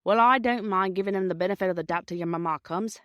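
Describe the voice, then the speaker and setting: A woman's voice: mid-range voice, fast talker, a bit bright, no echo, natural voice. Officious storybook station master, male played by woman narrator; blunt, colloquial, dry sound. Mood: grudging, puzzled. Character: gruff officious station master, adult man.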